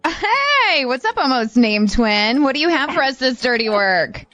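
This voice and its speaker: A woman's voice: high-pitched, sing-song, measured pace, fairly bright tone, soft background music. A woman co-hosting a radio show in casual, playful chat. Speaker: radio co-host.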